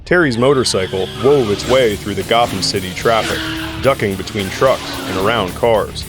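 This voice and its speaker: A man's voice: on the low side, moderate pace, music in the background. A man serving as narrator, reading scripted dramatic story narration.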